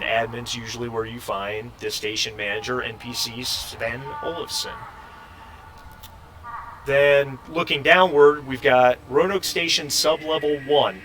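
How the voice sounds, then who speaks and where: A man running a tabletop roleplaying game as game master, explaining the setting in a halting, casual, conversational way over an online group call. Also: mid-range voice. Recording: noisy, distant microphone.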